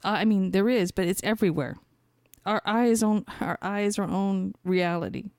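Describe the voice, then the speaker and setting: A woman's voice: medium pitch, moderate pace, gravelly, a little mellow, no room sound, studio quality. A woman podcast host talking in a casual, rambling spiritual monologue, conversational and thinking aloud as she searches for her words.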